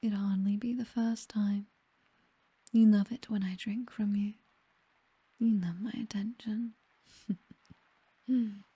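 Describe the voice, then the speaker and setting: A woman plays an aristocratic British vampire mistress, seductive, condescending and possessive. Her voice is extremely mellow and breathy, close to a whisper, and she takes her time with every phrase. It is an intimate, dry ASMR roleplay delivery with a languid, teasing air. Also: mid-range voice, fairly even intonation, slow, pauses often, no echo. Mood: predatory, seductive.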